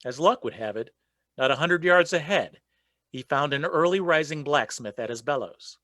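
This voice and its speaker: A man's voice: on the high side, animated, normal speed, pushed and bright, dry recording. A man narrating a folk legend as the storyteller in a scripted radio play. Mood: storytelling.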